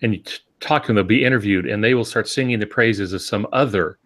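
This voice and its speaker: Low-voiced man chatting as podcast co-host. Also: fast, fairly bright tone. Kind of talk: conversational podcast discussion.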